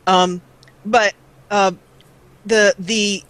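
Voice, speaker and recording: A woman's voice: medium pitch, little pitch movement, drawn-out words, a bit bright, low-quality recording. A woman in her forties, a guest let's player chatting casually in an interview, rambling with many fillers on an old taped-up mic. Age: 40s. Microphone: old duct-taped mic that cuts out.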